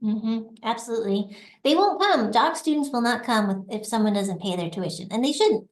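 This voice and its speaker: A woman's voice: on the high side, lively intonation, normal speed, reverberant. A middle-aged woman, a university dean, chatting through her answers, laughing easily and correcting herself as she goes. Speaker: assistant dean of a graduate school, guest. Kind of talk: conversational interview answers.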